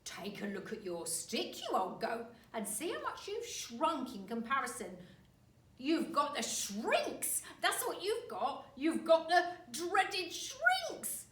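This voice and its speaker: A woman's voice: high-pitched, wildly swooping pitch, fairly bright tone, echoey room, far from the mic, voice pushed up, exaggerated voice. Woman doing a nasty, gloating husband, drawn out. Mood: taunting, shouting. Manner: slow, emphatic, taunting.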